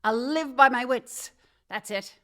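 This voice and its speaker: A woman's voice: a little high, unhurried, a bit bright. A woman acting a sarcastic, wisecracking career woman who has just been fired, in a comedic radio drama. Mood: rueful bravado.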